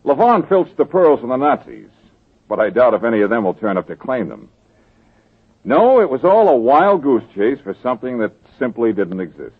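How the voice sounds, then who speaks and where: A wry radio-drama detective. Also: male voice, medium pitch, sing-song, normal speed, husky. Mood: easygoing. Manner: dry, teasing. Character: wry confident male private detective. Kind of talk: 1940s radio drama acting. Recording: tinny old radio broadcast.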